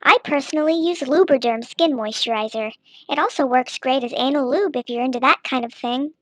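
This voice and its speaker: A woman's voice: high-pitched, no echo. A woman casually reading a how-to guide aloud in a mock-instructional manner. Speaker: narrator reading an online text aloud.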